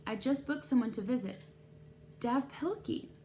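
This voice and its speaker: A coolly polite, defiant woman school librarian from a children's storybook, put on by a woman reader. Her voice is pitched up from plain narration, with some noise in the recording. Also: moderate pace, dark tone, lightly acted. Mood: pointedly polite. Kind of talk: children's novel read-aloud dialogue. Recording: clear background noise.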